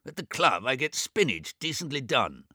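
A man voicing a gruff, ailing rich man near eighty, who grumbles and boasts in a raised, complaining voice. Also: mid-range voice, big pitch swings, moderate pace, pushed and bright, dry recording, clean studio sound, voice pushed up, exaggerated voice. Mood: querulous. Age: 80s.

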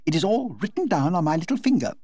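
A man voicing a vivacious forty-year-old society hostess in a high, gushing, theatrical tone. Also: big pitch swings, fast, darkish tone, slightly rough, no room sound, heavily acted.

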